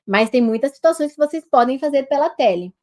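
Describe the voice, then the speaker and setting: A Brazilian woman doctor gives an online lesson, her voice pitched high and a little nasal from a head cold. She talks straight to her viewers in a lively, hands-on manner while demonstrating on herself. The sound comes through a simple webcam. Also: fairly quick. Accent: Brazilian Portuguese. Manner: lively, addresses viewers directly as a group. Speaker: doctor teaching telemedicine.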